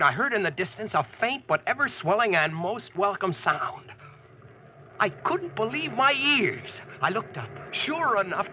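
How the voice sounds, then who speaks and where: A man's voice: high-pitched, background noise. An actor playing a plainspoken young American man who tells his adventure in colloquial, earnest old radio style. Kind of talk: 1940s radio drama first-person narration.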